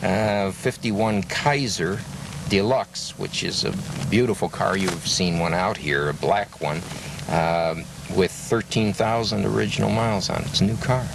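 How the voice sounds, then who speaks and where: Low-voiced man, slightly distant mic. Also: unhurried, vocal fry. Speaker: car club interviewee. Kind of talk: unscripted interview talk. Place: outdoors at a car meet. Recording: noisy outdoor pickup, slightly distant.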